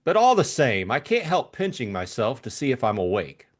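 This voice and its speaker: A man voicing a lighthearted, teasing young gentleman in an audiobook, with an exclamatory, musing manner. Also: medium pitch, huge pitch swings, normal speed. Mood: incredulous musing. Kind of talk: audiobook character acting.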